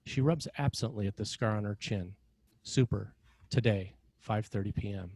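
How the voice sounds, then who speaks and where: Low-voiced man narrating a screenplay. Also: moderate pace, warm tone. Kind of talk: scripted narration, reading stage directions.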